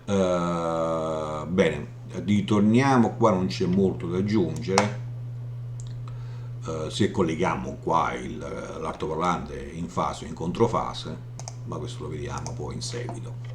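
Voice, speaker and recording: A man giving an informal software walkthrough, explaining haltingly and often checking in as he goes. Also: very deep voice. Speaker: software tutorial presenter. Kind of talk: informal screen demo walkthrough. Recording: roomy echo, audible background hum noise.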